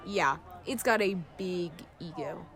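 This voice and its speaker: A woman playing a cheeky, irreverent, excitable friend who teases and wisecracks in an audio drama. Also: on the low side, unhurried, creaky. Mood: playful, opinionated. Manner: wisecracking, teasing.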